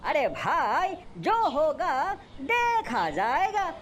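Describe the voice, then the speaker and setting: A woman plays a boastful little male animal soldier in a children's cartoon. The voice is extremely high and swings about, with a blustering, bungling manner. There is faint noise behind it. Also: huge pitch swings, extremely slow, exaggerated voice. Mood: reassuring. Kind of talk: Hindi animal cartoon dialogue.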